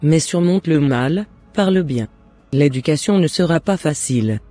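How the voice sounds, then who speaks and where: A man's voice: a little high, animated, normal speed, dark tone, no echo, faint music behind. A man playing a loving, devout mother in a cartoon, giving earnest and encouraging advice in formal words. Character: devout, loving mother advising her son. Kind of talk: scripted cartoon dialogue.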